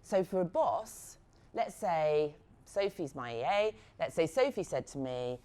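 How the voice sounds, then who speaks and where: A middle-aged woman giving a frank, lively workshop talk on negotiation, acting out short example conversations as she goes. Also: low-pitched, unhurried. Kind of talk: live workshop talk to assistants.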